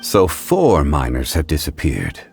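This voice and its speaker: A composed, scholarly fantasy game investigator in a very low voice. He speaks formally and analytically, yet his intonation moves freely. Faint music plays underneath. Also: wildly swooping pitch, measured pace, creaky, a little mellow, heavily acted. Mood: calm, pondering. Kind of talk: video game voice acting.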